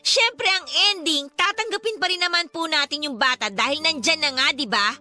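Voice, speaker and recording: A woman's voice: high voice, normal speed, pushed and bright. A Filipino woman playing a frustrated, outspoken eldest daughter in a radio drama, indignant and emphatic in her delivery. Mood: frustrated, hurt. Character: frustrated, outspoken adult eldest daughter, dutiful but hurt. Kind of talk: Tagalog radio drama acting. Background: background music.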